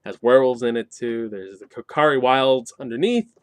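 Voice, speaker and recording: A man's voice: mid-range voice, moderate pace, no echo. A man hosting a casual, unscripted review, explaining things conversationally with wry asides. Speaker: reviewer and channel host.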